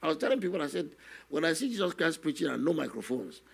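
An older man, a guest minister telling his testimony slowly and earnestly in a studio interview, often adding "you know". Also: on the high side, very animated. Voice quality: gravelly, husky. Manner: slow, earnest storytelling with many "you know" asides. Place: television studio, seated on a set. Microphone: stand microphone in front of him.

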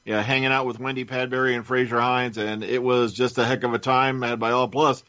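A man's voice: mid-range voice, level tone, fairly quick, fairly bright tone, no echo. A man chatting casually as a panelist on a podcast, recounting things informally. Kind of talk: casual chat. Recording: dull lo-fi sound.

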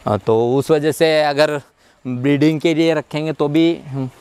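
A man's voice: on the high side, steady tone, a little slow, a little mellow, clear, resonant tone. A middle-aged man, a horse farm caretaker, answering interview questions outdoors in a polite, hesitant way, with many fillers, on a clip-on mic. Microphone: clip-on lapel mic.

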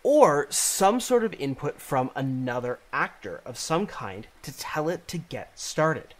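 A man in his thirties presenting a software tutorial, explaining deliberately phrase by phrase, close to the mic. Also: fairly high pitch, big pitch swings. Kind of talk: instructional screencast narration.

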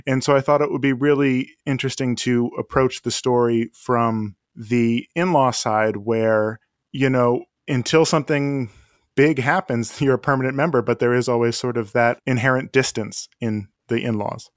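A man's voice: medium pitch, fairly even intonation, moderate pace, smooth, no echo, clean studio sound. A man, a playwright guest, talking casually and thoughtfully in an interview.

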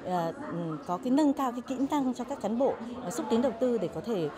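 A woman's voice: a little high, animated, brisk. A woman in her forties, an official, interviewed in an exhibition hall, hesitating with many filler sounds. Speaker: investment promotion official. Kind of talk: on-camera interview. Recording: crowd chatter behind.

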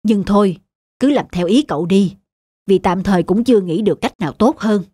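A woman's voice: mid-range voice, brisk, dark tone, breathy edge, dry recording, voice pushed down, close to an everyday voice. A woman voicing a heavyset male restaurant owner in a cartoon story. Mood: glum, resigned.

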